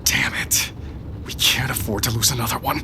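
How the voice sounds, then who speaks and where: A man's voice: mid-range voice, steady tone, normal speed, vocal fry, background noise, close to an everyday voice. A man acting in an audio drama as a grave, secretive resistance leader, curt and guarded.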